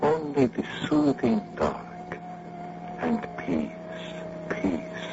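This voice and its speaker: Solemn hypnotic guru, radio drama, very gravelly, sweeping swoops of pitch, grandiose. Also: male voice, wildly swooping pitch, exaggerated voice. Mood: hypnotic, soothing. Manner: grandiose, slow, sermonizing pronouncements. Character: solemn foreign mystic doctor, a hypnotic spiritualist master. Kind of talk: old-time radio drama acting. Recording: muffled, noisy radio drama recording.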